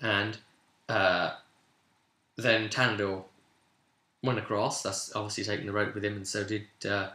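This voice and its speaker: A man's voice: fairly low pitch, level tone, a little slow, long pauses, sharp tone. A man casually retelling a tabletop role-play session as game master, rambling and informal, slipping into bits of dialogue. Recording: echoey room.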